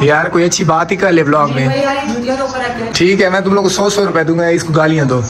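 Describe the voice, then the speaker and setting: A young man with a Saraiki accent jokes his way through a street vlog in a slightly high voice. He teases the people around him and laughs a lot. Filmed outdoors in a courtyard on a phone held close. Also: animated, moderate pace, over a noisy crowd. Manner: playful, teasing, laughs often. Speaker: vlogger host. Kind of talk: joking street vlog banter.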